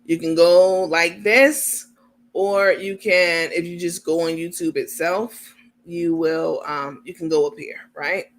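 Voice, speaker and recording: A man with a high voice and a gravelly, fry-laden edge talks casually on a livestream. He walks his viewers through things step by step, filling pauses with ums and getting irritated at small details. It sounds like a computer mic at home. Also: fairly even intonation, a bit bright. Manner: chatty, explains step by step while sharing screen. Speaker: channel host.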